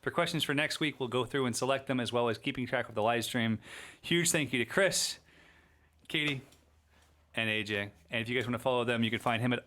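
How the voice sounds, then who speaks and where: A man's voice: mid-range voice, a little fast, sharp tone. A man hosting a casual livestream Q&A, chatty and upbeat, rambling a little and losing his voice. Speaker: livestream host and shop owner.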